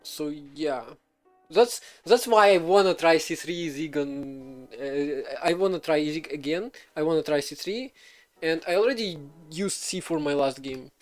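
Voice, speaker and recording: Rambling man, fairly high voice. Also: unhurried, faint music behind. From Belarus. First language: Russian. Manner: rambling, many fillers and restarts, laughs often. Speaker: streamer. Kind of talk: casual live coding stream chat.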